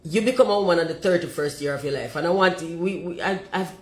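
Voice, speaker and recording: A Jamaican woman with a deep voice, talking forthrightly and emphatically and slipping into patois. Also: fast, reverberant. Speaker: commentator.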